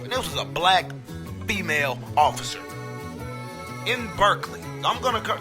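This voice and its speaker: Impassioned man ranting over hip hop. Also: sits high. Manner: blunt, impassioned, swears. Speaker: podcast host. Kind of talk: opinion monologue. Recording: roomy with hip hop music behind.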